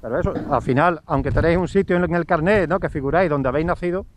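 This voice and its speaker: Fairly high-voiced male interviewer. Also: racing, slight background noise. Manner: leading questions, ends with "¿no?". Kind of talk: local news interview.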